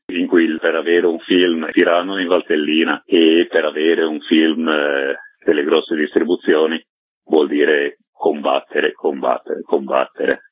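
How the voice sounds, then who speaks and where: A man with an extremely low, husky voice speaks as a radio interview guest. He is reflective and halting, repeating words to stress them. The audio is lo-fi and muffled. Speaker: film director, interview guest. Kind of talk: spontaneous radio interview answers. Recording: dull lo-fi line with roomy echo.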